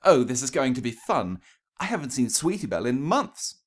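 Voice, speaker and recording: A man's voice: medium pitch, big pitch swings, normal speed, voice pushed up. Guy doing a posh-mannered girly pony lady for a story reading, gushy, too polite, voice lifted way up. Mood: delighted. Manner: refined, effusive, over-polite. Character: genteel, gushing female pony, anxiously polite socialite.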